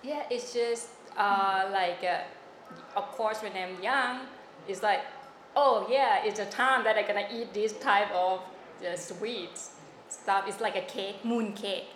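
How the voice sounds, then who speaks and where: A woman from Thailand, a Thai speaker talking in English, being interviewed. She speaks haltingly and fills her pauses a lot while she hunts for words. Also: mid-range voice, a bit bright. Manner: halting, many fillers, searching for words. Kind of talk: casual oral history interview. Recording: echoey room with noise.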